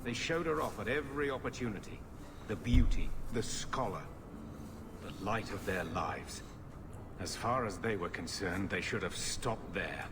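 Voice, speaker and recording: An actor voicing a bitter, self-justifying older uncle in a video game, grumbling and sardonic. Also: male voice, on the low side, unhurried, in a large hall, background noise. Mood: bitter, mocking.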